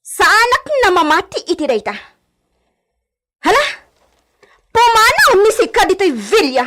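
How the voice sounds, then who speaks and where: Haughty heiress, very high voice, swooping delivery, slow and imperious, melodramatic radio drama. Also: female voice, big pitch swings, noisy background, heavily acted. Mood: indignant, scornful. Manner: imperious, emotional. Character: haughty, furious heiress guarding her hacienda inheritance.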